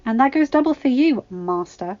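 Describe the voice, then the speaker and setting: A woman's voice: high-pitched, animated, normal speed, voice pushed up. A cheeky teenage apprentice girl, voiced by a woman narrator talks in a raised, playful voice. She teases in an audiobook style, on a slightly muffled home recording. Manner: playful, teasing.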